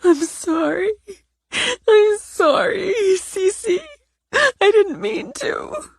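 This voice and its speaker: Woman doing a shrill, sobbing young woman. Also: lively intonation, extremely slow, vocal fry, no echo, clean studio sound, full character voice. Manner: high-pitched, pleading, sobbing. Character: young woman, tearful, frantic, spiteful sister.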